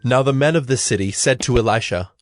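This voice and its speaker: A man's voice: mid-range voice, a little fast. A man narrating scripture from a script in a solemn storytelling manner. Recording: background music under the voice.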